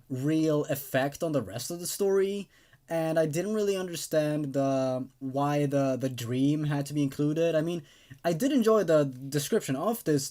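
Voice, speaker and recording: Animated, higher-voiced man reviewing casually. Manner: hesitant, rambling, often qualifying opinions. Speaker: host of a story-reading channel.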